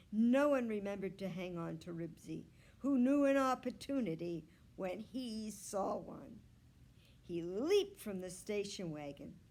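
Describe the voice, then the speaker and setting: Slow, expressive woman reading a children's story. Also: medium pitch. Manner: expressive, deliberate storytelling with drawn-out words. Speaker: children's story reader, library staff. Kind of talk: scripted read-aloud of a children's novel.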